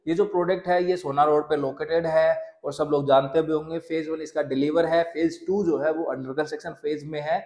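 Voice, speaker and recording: Young male host, chatty fillers, slightly raised pitch, lapel mic in a roomy studio. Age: young adult. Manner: chatty, mixes English phrases, many "jo hai" fillers. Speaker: real estate channel host. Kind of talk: casual Hinglish property update to camera. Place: indoor studio set with shelves. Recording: roomy echo.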